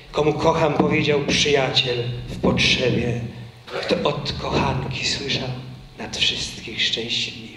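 A man in his thirties reciting a poem on a concert stage in a slow, emphatic, theatrical way. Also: medium pitch, background noise. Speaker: singer and reciter. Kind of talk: dramatic poetry recitation. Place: concert stage in a culture centre hall. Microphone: vintage stand microphone. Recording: roomy hall echo.

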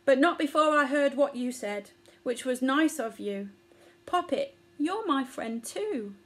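A woman's voice: high voice, lively intonation, unhurried, voice pushed up. Woman voicing a plucky little grown-up mouse. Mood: cheerful.

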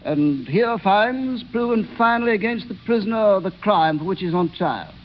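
An actor playing a cold, pompous judge who speaks sternly and formally in a 1930s radio drama. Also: male voice, high voice, lively intonation, normal speed. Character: cold, pompous male judge pronouncing sentence. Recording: dull, noisy 1930s radio recording.

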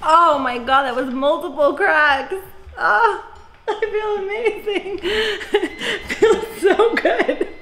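A woman's voice: extremely high pitch, slow, a bit bright. A young woman, effusive and giggly, talking casually and exclaiming as a patient during treatment. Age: young adult. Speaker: chiropractic patient. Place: indoor chiropractic treatment room. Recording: echoey room with background noise.

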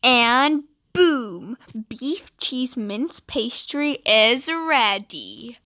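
A woman's voice: fairly high pitch, big pitch swings, drawn-out words, bright, forceful tone, no echo, soft background music. A woman from the UK hosting a home cooking channel, cheerfully talking through a recipe and listing the ingredients one by one. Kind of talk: recipe voice-over.

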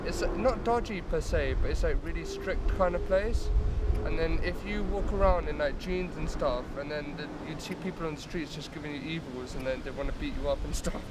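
A man's voice: on the high side, brisk, very noisy. A young man with an English accent chatting loosely in a documentary interview, with many fillers.

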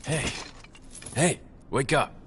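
An actor playing a cool young government agent in a video game, terse and guarded, with an American accent. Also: male voice, medium pitch, slow, fairly bright tone, slight background noise. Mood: brisk, questioning. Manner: terse, guarded, matter-of-fact. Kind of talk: video game cutscene acting.

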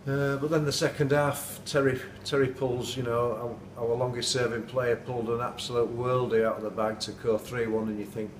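Middle-aged man, football manager, earnest and flat, noisy room sound. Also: mid-range voice, moderate pace, few pauses, recorded from a distance. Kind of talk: post-match interview. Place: indoors at night, dim room. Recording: echoey room, off-mic, noisy.

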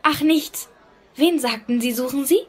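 High-voiced, shifty market woman. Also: measured pace. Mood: evasive, polite. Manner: hesitant, evasive. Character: shifty, uneasy female fruit seller, reluctant accomplice. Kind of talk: German audio drama acting.

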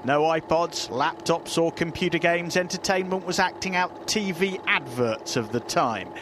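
A man's voice: on the high side, normal speed, noisy background. A middle-aged British man, a TV reporter, reading scripted feature narration.

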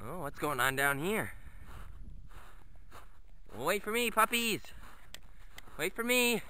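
A man's voice: sits high, sing-song, slow, pushed and bright, slight background noise. A man talking to his dogs in a sing-song, coaxing way while filming a walk through snowy woods on a handheld camera. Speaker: vlogger walking his dogs.

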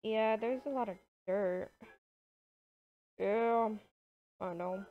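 A woman chats casually while playing a roleplay game. Her delivery is slow and halting, and the recording sounds dull and lo-fi. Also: mid-range voice. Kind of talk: casual gameplay roleplay chat.

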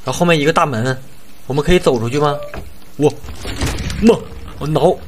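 A man's voice: a little high, fairly quick, noisy background. A man giving casual playthrough commentary on a horror game, thinking aloud, repeating short phrases and addressing his viewers. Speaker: gameplay streamer.

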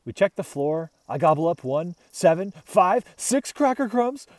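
A man narrates a children's storybook in an expressive, dramatic style. His voice is high for a man and swings widely in pitch, with a dry, close sound. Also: measured pace, dry recording. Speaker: storybook reader.

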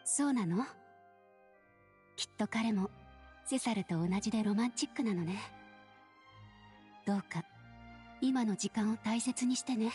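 An actress plays a middle-aged game character, a gentle lady with a fairly high voice. She speaks tenderly and wistfully, as if reminiscing, over soft music. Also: unhurried, pauses often, lightly acted. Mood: gentle, melancholy. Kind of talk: Japanese anime game voice acting. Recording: faint music behind the voice.

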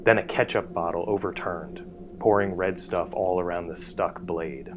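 A man reading scripted storytelling narration in a measured, dramatic literary way. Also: deep voice, fairly even intonation, very noisy. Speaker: narrator, author.

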